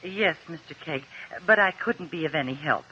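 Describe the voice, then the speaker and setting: A man playing a flustered, hesitant courtroom witness in 1950s radio drama, stammering and halting. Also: a little high, expressive, pushed and bright, slight background noise. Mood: nervous. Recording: tinny old radio recording.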